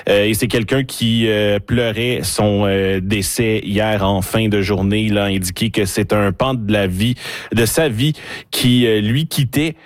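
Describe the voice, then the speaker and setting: A man leads a studio discussion in a low, steady voice. He speaks in a measured way, with frequent pauses and fillers. The sound is close and dry. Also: dry recording. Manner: measured, steady, many hesitations. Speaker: radio show host. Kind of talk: hosting a panel discussion.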